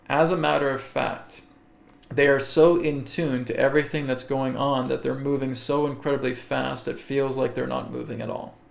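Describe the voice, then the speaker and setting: A man hosting a live spiritual webcast, answering viewer questions slowly and deliberately and often checking in with "okay?". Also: medium pitch, steady tone, gravelly. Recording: echoey room.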